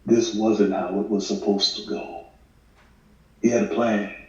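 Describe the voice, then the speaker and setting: Man as a low, very even, dark-voiced storyteller. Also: measured pace, lightly acted. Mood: sombre, reflective. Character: reflective, worldly male voice-over narrator of drama. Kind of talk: scripted voice-over at a table read. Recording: muffled, echoey, distant mic.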